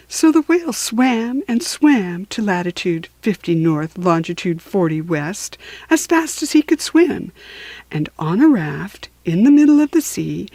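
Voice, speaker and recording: A woman storyteller reading a children's tale expressively, with theatrical, deliberate emphasis. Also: mid-range voice, a little mellow, dry recording.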